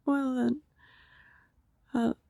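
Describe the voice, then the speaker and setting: A woman playing a lovelorn, anxious vampire neighbor in an ASMR roleplay, pleading haltingly and often slipping into breathy whispers. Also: high voice, flat delivery, warm tone, dry recording, full character voice. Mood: hurt, resigned.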